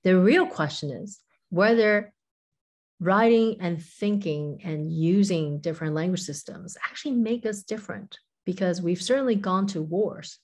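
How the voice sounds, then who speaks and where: A woman's voice: lowish voice, unhurried. A woman in her forties, a professor and author, explaining ideas thoughtfully as a guest over a video call, often hedging with "kind of" and "you know". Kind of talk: interview conversation. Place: home room with windows.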